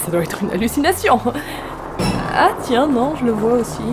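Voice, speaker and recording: A woman's voice: fairly high pitch, big pitch swings, normal speed. A woman playing a wry, lightly sarcastic hiker in a comedy audio drama. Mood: dry. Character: wry, relieved woman stranded on a snowy hike. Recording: clear music bed behind the voice.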